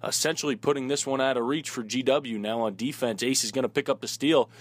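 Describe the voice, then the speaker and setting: A man announcing sports highlights in a play-by-play commentary style. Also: steady tone, quick pace, dry recording.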